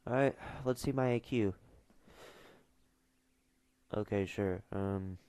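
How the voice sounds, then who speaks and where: A man's voice: on the low side, slow pace, warm tone, clean tone, no room sound. A teenage boy streaming casually, mumbling and trailing off with fillers as he reads questions aloud. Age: teens. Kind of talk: casual livestream chat.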